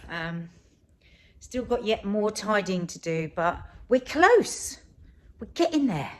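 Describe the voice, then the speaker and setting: A woman's voice: mid-range voice, big pitch swings, slow pace. A British woman vlogging in casual chat to camera, talking in an effusive, exclamatory way. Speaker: family vlogger.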